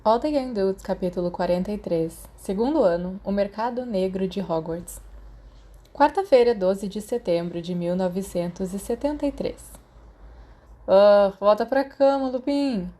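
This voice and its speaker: A Brazilian woman narrates an audiobook in a soft, mellow voice. Her reading is scripted storytelling, at a medium pitch with a dark, relaxed tone. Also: moderate pace. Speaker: podfic narrator.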